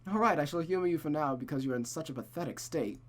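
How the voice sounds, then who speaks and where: A reader voicing a doting gentleman lover from a visual novel, gentle, wry and slightly formal. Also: medium pitch, fast, lightly acted. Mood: wry, adoring.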